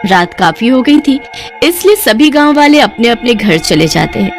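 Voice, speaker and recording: High-pitched woman storyteller. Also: big pitch swings, moderate pace, faint music behind. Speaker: story narrator. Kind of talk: scripted Hindi cartoon storytelling narration.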